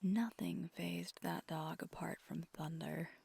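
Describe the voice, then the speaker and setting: A woman playing an affectionate, gentle vampire girlfriend in an ASMR roleplay, speaking in a soft, breathy, intimate whisper. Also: fairly low pitch, fairly even intonation, heavily acted. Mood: wistful, gentle. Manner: slow, hushed, intimate.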